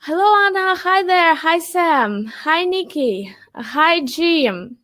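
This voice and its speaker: Upbeat high-voiced young woman hosting a webinar. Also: lively intonation, takes it slowly, fairly bright tone. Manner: upbeat and chatty, greets viewers by name. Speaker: webinar host, marketing manager. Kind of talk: live webinar presentation, reading chat greetings.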